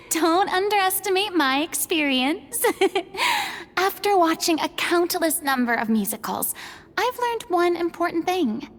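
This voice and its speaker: A woman's voice: very high voice, very animated, slow pace, a bit bright, big-room sound, full character voice. A woman voicing a confident, theatrical, haughty young female performer in a video game, with grand dramatic delivery. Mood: earnest, grand.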